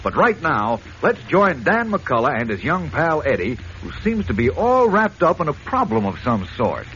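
A man's voice: a little high, wildly swooping pitch, moderate pace, a bit bright. A man narrating a 1940s radio serial as announcer, dramatic and emphatic. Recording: muffled, hissy old radio recording.

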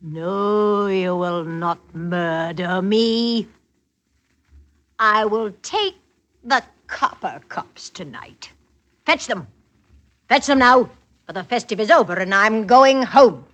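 A woman's voice: mid-range voice, slow pace, pauses often, fairly bright tone. A woman acting a bossy, greedy village neighbour in a classic radio drama, with theatrical country speech. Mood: stern, menacing.

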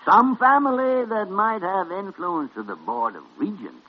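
A crusty old hospital doctor in an old radio drama, blustering and sardonic. His husky voice swings widely as he needles and bosses people. The recording is dull and dated. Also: sits high, animated, unhurried. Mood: sly, pointed. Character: gruff, needling old senior doctor, bossy but shrewd. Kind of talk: 1940s radio drama acting.

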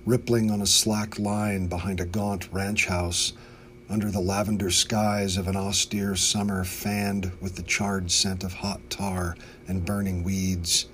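An American man, a poet, reading in a measured, literary way. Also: sits low, little pitch movement, fairly bright tone. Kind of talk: poetry reading. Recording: faint background noise.

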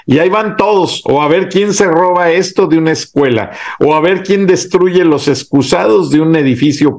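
Explaining male host, slightly high voice. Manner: explanatory, informative. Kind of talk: casual political chat.

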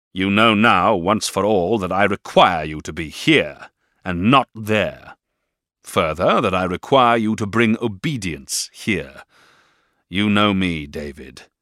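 A man's voice: low-pitched, fairly bright tone, no echo, studio quality. A man reading a cold, stern stepfather. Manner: grave, clipped, commanding. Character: stern cold middle-aged stepfather, domineering. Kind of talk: audiobook reading of a classic novel.

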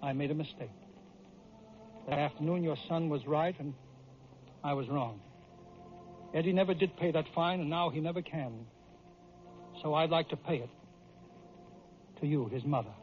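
A man with an American accent playing a tough, fiery baseball manager, blunt and forceful, in a radio story. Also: fairly high pitch, normal speed, pauses often, a little hiss or hum, voice pushed down, close to an everyday voice. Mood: gruff remorse. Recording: dull vintage radio recording.

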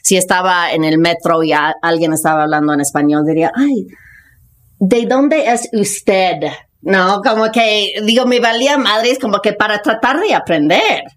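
A woman's voice: medium pitch, moderate pace. A woman in her fifties from the United States, a podcast guest, speaks Spanish with an American accent. She talks frankly in casual slang and drops English words into her speech. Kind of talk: casual conversational storytelling.

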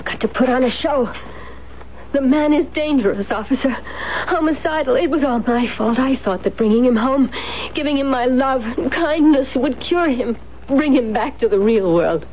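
Actress as a high-voiced, cold, scheming woman. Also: moderate pace, lightly acted. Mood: mock remorse. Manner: urgent, clipped, theatrical. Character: cold, scheming, commanding woman plotting murder. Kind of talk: 1970s radio drama acting. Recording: dull 1970s radio recording, faint noise.

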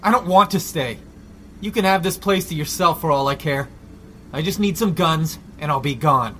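A man's voice: a little high, moderate pace, sharp tone, a little hiss or hum. An actor playing a defiant, foul-mouthed civilian survivor, a combative man in a zombie audio drama. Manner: combative, profane.

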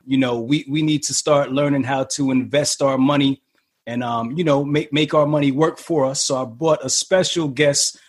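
A man hosting an interview podcast, talking in a relaxed, casual way with lots of fillers and restarts. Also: medium pitch, moderate pace, breathy edge. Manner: laid-back, many fillers and restarts. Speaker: podcast host.

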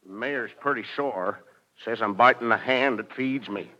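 An actor playing a nervous, aggrieved, earnest American dog catcher in a 1940s radio comedy, plaintive in manner. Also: male voice, mid-range voice, moderate pace, background noise, natural voice. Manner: nervous throat-clearing, plaintive. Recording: dull vintage radio recording.

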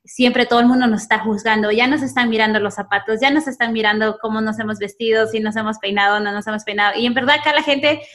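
A woman's voice: a little high, fairly even intonation, fast, hardly any pauses, fairly bright tone. A woman from Peru with a Peruvian accent, hosting a casual conversational interview. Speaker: interviewer, host.

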